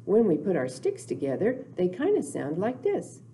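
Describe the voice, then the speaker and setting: An older woman in her sixties hosting a storytime for young children, speaking in a deliberate, expressive, simple sing-song teaching way. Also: mid-range voice. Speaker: children's storytime host at a library. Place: small indoor room, in front of backdrop. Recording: noticeable background noise, clicks of props.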